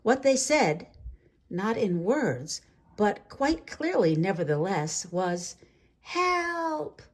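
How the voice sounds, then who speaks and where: A woman reading a children's story aloud in an expressive, deliberate way with dramatic phrasing. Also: on the low side, husky. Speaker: storybook reader. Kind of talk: read-aloud children's story narration with asides.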